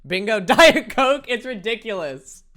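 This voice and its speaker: A young man with a light, high-pitched voice, chatting casually and playfully with his viewers while he plays a game, laughing often and joking at his own expense. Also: animated, measured pace, sharp tone. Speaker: streamer. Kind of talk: casual chat reading viewer messages while gaming.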